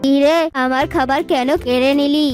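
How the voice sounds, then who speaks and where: A woman's voice: high voice, fairly even intonation, moderate pace, soft background music, voice pushed down, lightly acted. A woman voicing a naive, eager and hungry pet dog in a children's cartoon. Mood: indignant, hungry. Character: gullible, hungry pet dog, trusting and simple.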